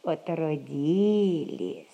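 An actress playing a soft-hearted, fussing old servant nanny in a stage comedy, with sighing, homely folk speech. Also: female voice, sits low, very slow, creaky, muffled recording, heavily acted. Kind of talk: Russian stage comedy recorded as radio play.

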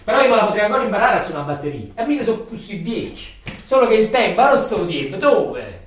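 A man's voice: high-pitched, sing-song, quick pace. A man with a Neapolitan accent playing an embittered, rambling factory worker in a theatrical monologue, colloquial and repetitive. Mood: wistful. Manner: colloquial, repetitive, ranting and muttering. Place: echoey room or hall, far from the mic. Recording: echoey, distant stage recording with faint noise.

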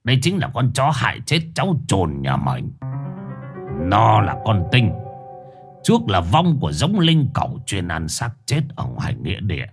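A man voicing a scheming old male village sorcerer in a low, gruff, sly voice. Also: huge pitch swings, moderate pace, over music, full character voice. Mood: insistent.

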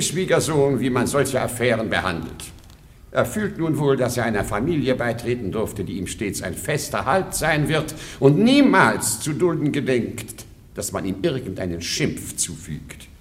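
A man's voice: mid-range voice, very animated, measured pace. A man playing a pompous, haughty older nobleman father-in-law in a radio comedy, commanding and declamatory. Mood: self-satisfied. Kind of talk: German radio play acting of classic comedy.

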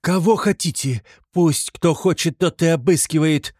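A man voicing a hysterical, sickly, impoverished widow in an audiobook, shrill and frantic, fiercely protective and indignant. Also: lively intonation, measured pace, no echo, clean studio sound. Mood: angry defiance. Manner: frantic repetitions, accusing, pleading. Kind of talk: dramatized literary audiobook.

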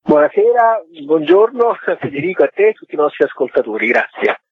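A man's voice: on the high side, very animated, a little fast, breathy edge, no room sound. A man speaking as a guest director in a radio interview, presenting his work. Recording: tinny phone line.

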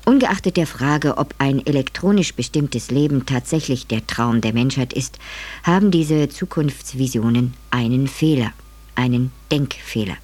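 A man reading a scripted radio introduction as announcer, in a measured, formal way. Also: mid-range voice, animated, darkish tone.

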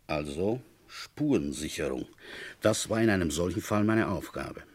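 Deep, husky, weary inspector narrating. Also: male voice, dry recording. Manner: dry, terse, brooding. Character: feverish, weary male police inspector recounting his case. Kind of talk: first-person radio drama narration.

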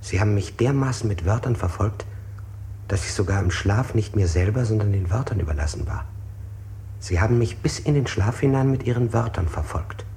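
An actor playing a haunted man under interrogation in a German radio play, speaking deliberately and correcting himself. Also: on the low side, expressive. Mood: haunted, measured. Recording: hissy 1960s radio play recording.

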